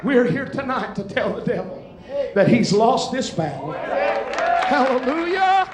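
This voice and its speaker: A man's voice: very high voice, big pitch swings, a little slow, exaggerated voice. An actor playing a fervent preacher who declaims a fiery sermon to cast out devils, drawing out his exclamations. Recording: echoey, distant, loud music and crowd behind.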